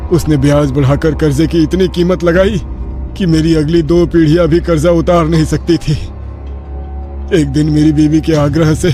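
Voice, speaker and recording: A man plays a vengeful farmer's ghost disguised as a humble servant. The voice sits a little high for a man, deferential and sorrowful, telling a tale in a horror cartoon over clearly audible music. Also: mellow, natural voice. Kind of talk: dramatised horror cartoon narration.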